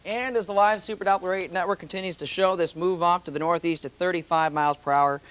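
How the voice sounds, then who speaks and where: A man's voice: high-pitched, steady tone, quick pace, a bit bright, clean tone. A man presenting a live TV weather forecast as a meteorologist, in a conversational, ad-libbed way.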